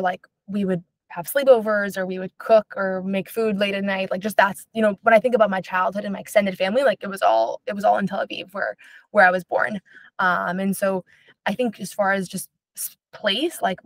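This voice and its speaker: A young woman from Israel talks about basketball at a fast clip, answering interview questions. Her speech is loose and chatty, dotted with "um" and "like." The recording is dry and close, with no room sound. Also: mid-range voice, dry recording. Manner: fast, chatty, lots of fillers like "um" and "like". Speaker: basketball reporter.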